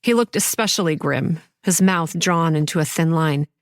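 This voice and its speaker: A woman's voice: deep voice, moderate pace, natural voice. A woman playing a haunted, sardonic young campground manager, telling her horror story in a confiding, wry first person. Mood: brooding.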